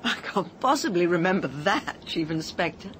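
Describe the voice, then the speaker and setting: Animated, tart British village housekeeper played by an actress. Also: female voice, lowish voice, moderate pace, slight background noise, natural voice. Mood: tartly defensive. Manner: crisp, dryly indignant, precise. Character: composed, tart village housekeeper woman fending off police questioning. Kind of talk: British radio mystery drama acting.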